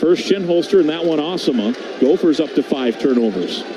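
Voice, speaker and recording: A man's voice: fairly high pitch, lively intonation, a little fast. An American man doing live radio play-by-play basketball commentary from an arena booth. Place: broadcast booth in a sports arena. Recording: clear background noise from arena broadcast.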